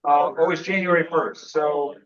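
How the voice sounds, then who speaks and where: A man hosting a radio club meeting in casual chat, halting, with many fillers and restarts. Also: fairly high pitch, fairly even intonation, lo-fi sound, a crowd in the background.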